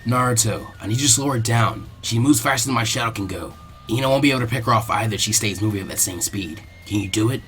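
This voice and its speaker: A low-voiced boy strategist played by a man, serious and analytical. He talks in a muted, deliberate way, as in an audiobook adventure with music behind. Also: a bit bright, echoey room, long reverb tail, natural voice. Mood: calm, calculating.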